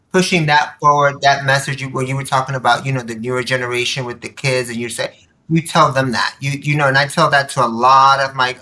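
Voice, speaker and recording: Woman, very bright forceful voice, casual podcast guest, animated storyteller, conversational. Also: extremely low pitch, low-quality recording. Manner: animated, quotes dialogue while telling anecdotes. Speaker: podcast guest, nonprofit board member.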